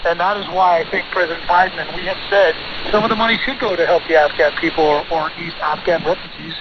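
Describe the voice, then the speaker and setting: A man's voice: a little high, fairly even intonation, fast talker. An American man, a lawyer being interviewed, answering in an assertive, argumentative and insistent manner over a radio relay. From United States. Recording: noisy, dull shortwave radio relay.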